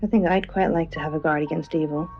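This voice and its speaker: An actress playing a polite, tentative co-host of a spooky radio show, chatting in an understated, natural way. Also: female voice, sits low, steady tone, fast talker. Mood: hesitant, curious. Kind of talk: conversational late-night radio banter. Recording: dull lo-fi radio sound over music. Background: background music.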